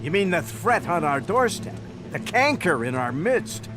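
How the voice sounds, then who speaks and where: A man's voice: sits high, very animated, a little slow, over music. An actor playing a fussy, anxious male city official in a video game, easily flustered. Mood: exasperated. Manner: flustered, stammering when rattled. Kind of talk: video game dialogue acting.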